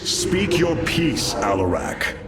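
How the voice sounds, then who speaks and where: An actor playing a noble, resolute alien leader in a video game, speaking in a stern, solemn, declamatory way. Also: male voice, on the high side, sing-song, slow pace, over loud music. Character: noble, resolute male alien leader, proud warrior commander. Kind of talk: dramatic video game voice acting.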